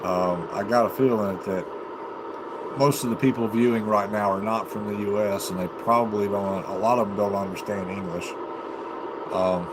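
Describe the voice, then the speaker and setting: Low-voiced American man over loud background noise. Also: fairly even intonation. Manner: halting, unhurried, thinking aloud. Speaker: amateur livestreamer and CB radio hobbyist. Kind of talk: casual rambling livestream chat. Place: home basement.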